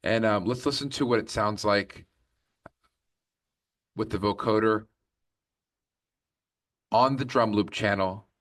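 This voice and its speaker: A man's voice: on the low side, slow, pauses often, a bit bright, far from the mic. A man hosting a music production tutorial, explaining step by step and often checking in as he goes. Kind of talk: instructional tutorial narration.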